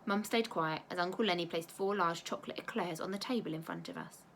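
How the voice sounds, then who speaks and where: A woman teacher reading a children's storybook aloud with expressive narration. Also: on the low side, fairly quick, slight background noise.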